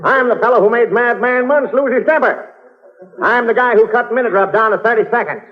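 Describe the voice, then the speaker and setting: A man's voice: very high-pitched, a little fast. An actor playing a pushy, boastful publicity agent with salesman patter in old-time radio comedy. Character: brash fast-talking male publicity agent, pushy and boastful. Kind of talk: 1940s radio comedy acting. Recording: muffled, noisy old radio broadcast recording.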